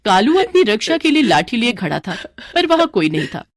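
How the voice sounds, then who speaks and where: A woman's voice: on the high side, big pitch swings, fairly quick, no room sound. A woman narrating a scripted children's moral story as storyteller.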